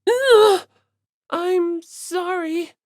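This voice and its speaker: A man voicing a timid, gentle, easily frightened teenage girl in an audiobook, hesitant and meek. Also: extremely high pitch, drawn-out words, dry recording, studio quality, voice pushed up, exaggerated voice.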